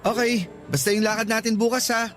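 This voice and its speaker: A man's voice: high-pitched, flat delivery, brisk, over music, close to an everyday voice. A man acting a smitten, easygoing but defensive college student in a radio drama, with a Filipino accent. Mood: friendly, easygoing. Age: young adult.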